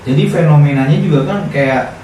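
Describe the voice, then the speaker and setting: A man's voice: fairly high pitch, a little fast, mellow. A young man with a Jakarta-area Indonesian accent talks informally as a co-host in a casual podcast chat. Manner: informal, conversational. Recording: echoey room, distant mic, faint noise.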